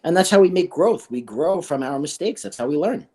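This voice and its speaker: A school staff member reading a children's book aloud and chatting about it, in an expressive way. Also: mid-range voice, a little fast, slightly rough. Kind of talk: read-aloud of a children's book and casual discussion.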